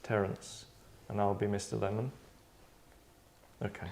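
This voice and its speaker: A man's voice: on the low side, level tone, measured pace, gravelly, a little mellow. A man hosting a table read in a rambling, digressive, tongue-in-cheek chat. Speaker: host and writer running a table read.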